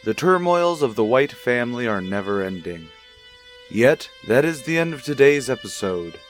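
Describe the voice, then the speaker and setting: A man's voice: mid-range voice, sing-song, moderate pace, soft background music. A man reading a scripted outro as the announcer and host of a radio drama show.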